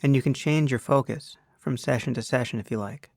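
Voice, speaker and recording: A man leading a guided meditation as a teacher, speaking in an unhurried, measured way with long pauses. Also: mid-range voice, vocal fry, a little mellow, no room sound, studio quality.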